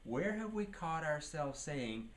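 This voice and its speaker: A man's voice: a little high, very animated, slow, a bit bright. A man giving a leadership training lecture, teaching by posing questions and answering them, with emphasis on key words. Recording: clearly noisy recording.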